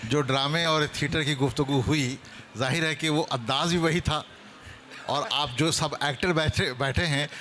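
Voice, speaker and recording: An audience member, a man with a fairly high, bright voice, offers courteous and appreciative remarks at a live seminar. There is faint ambient noise behind him. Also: fairly even intonation, measured pace. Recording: some background noise, live event ambience.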